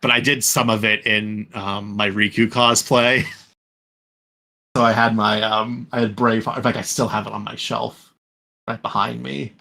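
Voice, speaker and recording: A man's voice: fairly low pitch, level tone, moderate pace, creaky, bright, forceful tone. A young man hosting a casual podcast chat from home, rambling with many fillers and restarts. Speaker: podcast host.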